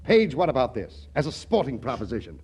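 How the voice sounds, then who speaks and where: Radio comedy role: slick city promoter, mid-pitched, highly expressive, glib salesman manner, commanding, 1940s style. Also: brisk, breathy edge. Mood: smooth persuasion. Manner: glib, take-charge, salesmanlike. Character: slick, persuasive big-city promoter and school boss.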